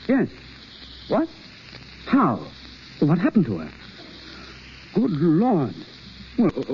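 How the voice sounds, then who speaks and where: High-pitched urgent theatre man. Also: full character voice. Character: urgent, commanding theatre man, an acting coach. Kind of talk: 1930s radio acting. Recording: muffled, hissy 1930s radio recording.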